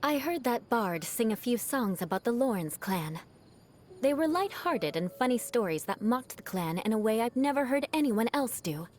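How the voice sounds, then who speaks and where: An actress voicing a proud young noblewoman knight in a video game, with a haughty, formal, theatrical manner and a vengeful streak over a soft heart. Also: on the high side, sing-song, normal speed, a little hiss or hum. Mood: reflective, amused. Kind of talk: video game character voice lines. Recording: music behind the voice. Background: background music.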